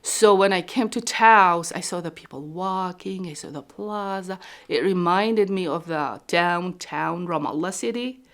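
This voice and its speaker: A woman from Palestine talking about her life in an interview, telling her story in a slow, animated way. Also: on the low side. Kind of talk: documentary interview.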